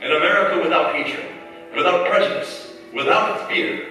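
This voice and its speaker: A man's voice: fairly high pitch, normal speed, bright, forceful tone. A man playing a grave, urgent narrator in a staged 1940s radio drama, dramatic and intense. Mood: resolute. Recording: echoey hall, far mic, music behind. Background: music.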